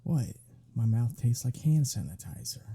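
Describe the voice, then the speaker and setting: Sly flirtatious male vampire played by a man for ASMR roleplay: very dark, breathy voice, sardonic teasing drawl. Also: medium pitch, very animated, moderate pace. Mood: casual, wry. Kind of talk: ASMR roleplay audio drama.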